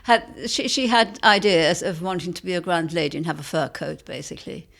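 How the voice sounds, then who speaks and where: A woman's voice: on the low side, lively intonation, a little fast, vocal fry, fairly bright tone. An older woman, an author, talking reflectively as a guest in an interview.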